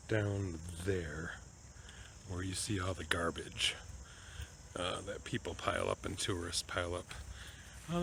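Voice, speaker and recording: Man, deep bright voice, casual vlogger, thinking aloud, faint outdoor noise behind. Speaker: travel vlogger and narrator. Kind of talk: casual vlog talk to camera. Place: outdoors in a cemetery for much of it.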